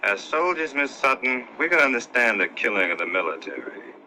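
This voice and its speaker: An actor playing an earnest army sergeant answering a reporter in a 1960s war film, heard through a poor playback. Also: male voice, mid-range voice, big pitch swings, brisk, bright, forceful tone, slight background noise, exaggerated voice. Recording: poor film clip played back.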